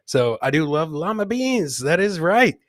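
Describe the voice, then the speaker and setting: A man hosting a comedy podcast, bantering casually in a rowdy, rambling way with shouted bursts. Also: fairly high pitch, big pitch swings, measured pace, no echo, clean studio sound. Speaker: host of a live comedy podcast. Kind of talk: boisterous casual live banter with listeners.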